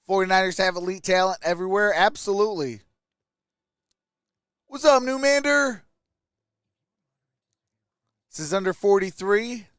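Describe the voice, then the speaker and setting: A man's voice: high voice, normal speed, pauses often, fairly bright tone, smooth, no echo, clean studio sound. A man hosting a sports livestream, giving casual play-by-play and chatting with viewers as he goes. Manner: reads out and answers viewer chat. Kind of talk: casual live play-by-play and chat reactions.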